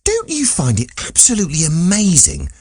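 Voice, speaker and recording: A man's voice: fairly high pitch, wildly swooping pitch, moderate pace, a little mellow, breathy edge, no room sound, clean studio sound, pitched above the performer's own voice, full character voice. A man voicing a naive, earnest, wide-eyed young man full of questions in an audiobook. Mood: amazed, questioning. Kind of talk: audiobook character voice.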